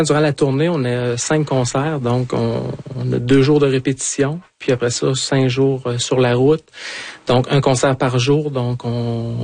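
A man's voice: mid-range voice, vocal fry, a little mellow, dry recording. A middle-aged man with a Quebec French accent, answering in a radio interview as a trumpeter and conductor, hesitant with many fillers and restarts.

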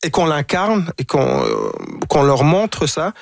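A man, a guest on a talk show, speaking reflectively and haltingly, often repeating words as he searches for them. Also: fairly high pitch, wildly swooping pitch, gravelly, no echo, studio quality. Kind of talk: reflective conversation.

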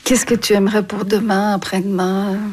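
A woman with a slightly husky voice interviewing someone in a conversational way. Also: mid-range voice, level tone, normal speed, a little mellow.

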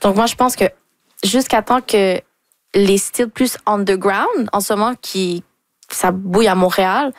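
Brief: young Québécois singer, relaxed talk, dry close sound. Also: female voice, medium pitch, measured pace, no echo. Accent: Québécois French. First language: French. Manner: relaxed, mixes English words into French. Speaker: R&B singer, interview guest. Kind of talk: casual interview conversation.

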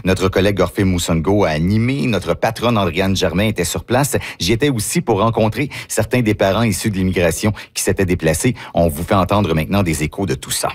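A man's voice: deep voice, a little fast, hardly any pauses. A man who is a radio reporter, presenting a news report and asking friendly, encouraging questions. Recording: music in background.

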